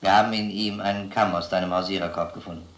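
An actor playing a methodical police detective in a crime radio drama, questioning in a measured, insistent way. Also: male voice, low voice, steady tone, reverberant, a little hiss or hum. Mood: probing. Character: probing, methodical male police detective interrogating a suspect.

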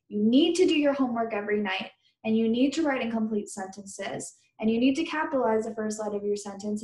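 A woman teacher reading a children's book aloud, stopping to question and explain things to her pupils. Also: fairly high pitch. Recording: roomy indoor echo.

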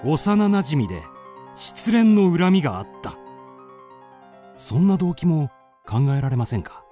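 Earnest young male amateur sleuth, radio drama; very expressive, deliberate, polite, slightly high voice. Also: soft background music. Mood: confident accusing. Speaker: narrator and lead character. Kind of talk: radio drama acting and narration.

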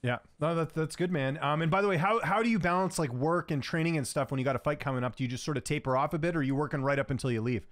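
A man in his thirties hosting an interview, asking questions in a brisk, friendly way. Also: a little high. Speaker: interview host.

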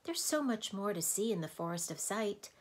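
A woman voicing a gentle, wise young man of the forest who speaks softly, almost in a whisper. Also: medium pitch, expressive, normal speed, pitched above the performer's own voice, natural voice. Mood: gentle, wistful. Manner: soft, half-whispered. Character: gentle, soft-spoken male forest guide, wise.

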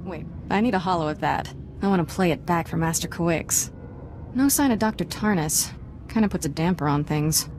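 A woman playing a cheeky, lowish-voiced young Jedi. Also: moderate pace, a little mellow, slight background noise, lightly acted. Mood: wry. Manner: wry, flippant. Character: cheeky, confident young female Jedi apprentice. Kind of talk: video game character acting.

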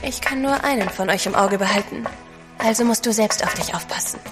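An actress playing a spirited, loving wife in an audio drama, wry and affectionate in manner. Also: female voice, mid-range voice, a little fast, in a large hall, over music, natural voice. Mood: wryly tender. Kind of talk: German audio drama acting.

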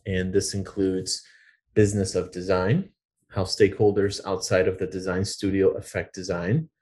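A man's voice: low-pitched, flat delivery, normal speed, a little mellow. A man, a design educator, presenting his program formally in a webinar, with frequent filler words.

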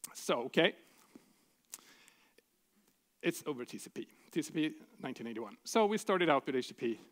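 A man's voice: fairly high pitch, sing-song, normal speed, long pauses. Casting: middle-aged Swedish-accented man, conference speaker, informal, dry humor, headset mic. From Sweden. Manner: casual, informal, with frequent fillers and dry asides. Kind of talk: technical conference talk. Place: large conference hall stage.

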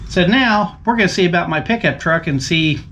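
A man's voice: high voice, brisk, heavy background noise. A man hosting his own channel, casually demonstrating car ramps outdoors in a folksy, plain-spoken, step-by-step way. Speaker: channel host reviewing tools.

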